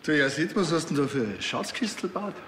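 A man's voice: a little high, lively intonation, a little fast, fairly bright tone, long reverb tail, slight background noise. Laconic Bavarian policeman. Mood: unflustered. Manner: laconic, dry. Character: dry, unflustered male small-town police officer. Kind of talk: TV crime comedy acting.

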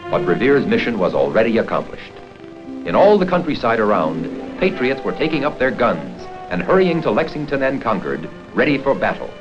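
Lively male narrator on dull old film soundtrack. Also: medium pitch, normal speed, over music. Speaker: narrator of an educational history film. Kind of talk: scripted documentary narration.

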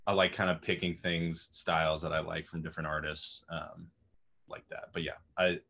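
A young man in his twenties with a raspy voice, chatting casually as a guest musician on a video call, rambling with lots of fillers. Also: deep voice, flat delivery, moderate pace, pushed and bright. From Austin, Texas. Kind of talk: casual interview chat. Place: home room with music gear. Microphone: video call webcam.

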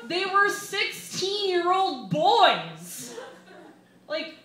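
A woman in her twenties with an American accent, reading a comedic personal essay live with wry, deliberate timing at a bar microphone. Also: very high-pitched, very animated. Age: 20s. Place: small bar reading series, indoors. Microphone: microphone on a stand, held close. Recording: roomy live bar, some background noise.

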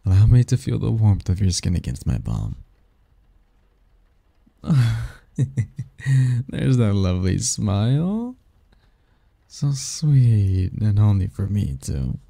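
A man plays a possessive male demon lover in a romantic ASMR roleplay. His voice is low and very mellow, with a gravelly creak. He speaks with teasing tenderness and old-fashioned courtliness. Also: big pitch swings, slow pace, pauses often, no echo, full character voice. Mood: teasing, flirtatious.

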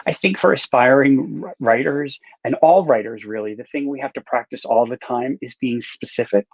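A man's voice: medium pitch, moderate pace, no room sound. A middle-aged man chatting casually and self-deprecatingly as he answers interview questions in a rambling way over a video call. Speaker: author and writing teacher. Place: small book-lined room indoors, on video call. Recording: dull lo-fi video-call audio.

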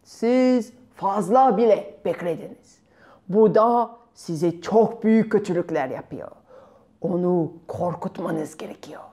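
A woman storyteller voicing a grave, wise old bearded man who gives solemn advice in deliberate phrases. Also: lowish voice, warm tone. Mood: grave, urging.

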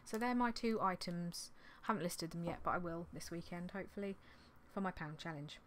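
A woman in her thirties, slightly hoarse from losing her voice, chatting in a rambling, apologetic way on a vlog, recorded in a small indoor craft room on a desktop mic. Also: lowish voice, brisk, a little hiss or hum. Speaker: clothing reseller vlogger. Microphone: desktop condenser mic on an arm.

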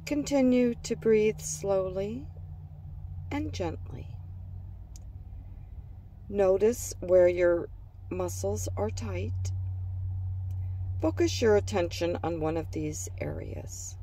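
A woman narrating a scripted guided relaxation for children in a gentle, soothing manner, leaving pauses between phrases. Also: medium pitch, recorded from a distance, a little hiss or hum.

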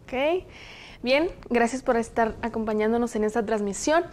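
A young woman from Zacatecas with a Mexican Spanish accent, hosting a studio discussion on a lapel mic in a TV studio, speaking in a measured, explanatory way. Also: on the high side, darkish tone, slight background noise. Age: young adult. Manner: measured, explanatory, reads passages aloud. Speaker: host. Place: television studio, indoors.

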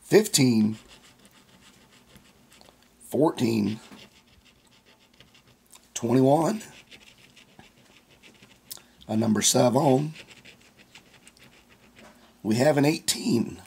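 A raspy, hoarse man who has lost some of his voice hosts his own vlog in a slow, easygoing drawl. He reads numbers off a ticket one at a time, his tone husky and rough with a gravelly edge. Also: medium pitch, expressive, darkish tone. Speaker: scratch-off ticket channel host. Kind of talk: casual vlog narration.

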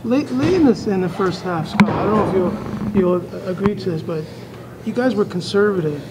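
A man's voice: sits high, expressive, normal speed, noisy background. A man, a sports reporter, putting questions to a coach, stammering and repeating words. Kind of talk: press scrum questions.

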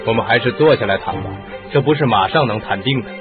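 An actor playing a sceptical, businesslike police inspector who questions things in a radio mystery. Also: male voice, mid-range voice, quick pace, lightly acted. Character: sceptical male police inspector, grudgingly impressed. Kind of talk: Chinese radio mystery drama acting. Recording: muffled lo-fi radio drama, music behind.

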